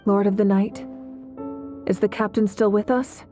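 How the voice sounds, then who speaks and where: An actress playing an earnest, compassionate heroine in a video game, speaking in a reflective, sincere way. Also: female voice, mid-range voice, steady tone, measured pace, music in the background, lightly acted. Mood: concerned. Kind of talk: dramatic video game acting.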